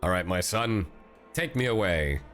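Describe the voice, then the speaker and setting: A man's voice: sits low, measured pace. A male streamer giving playful, casual commentary on a game and reading its story text aloud. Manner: animated, playful. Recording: music behind the voice.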